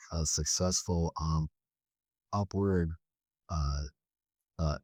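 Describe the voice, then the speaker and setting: Hesitant reporter guy, low mellow voice, dry sound. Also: no room sound. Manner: halting, hesitant. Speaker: media reporter. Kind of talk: press conference question.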